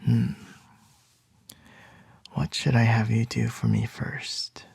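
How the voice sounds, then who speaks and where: Man doing CEO boss, ASMR roleplay, domineering, whispery, raspy, slow. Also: fairly even intonation, no echo, full character voice. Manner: soft low whispering, measured and domineering. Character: commanding young male CEO boss, smooth and controlling.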